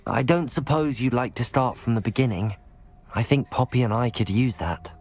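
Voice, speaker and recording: A man's voice: medium pitch, moderate pace, close to an everyday voice. An actor, a man, playing a supportive young student of magic in a video game, with a considerate, polite manner. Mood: polite, questioning. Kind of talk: video game cutscene acting.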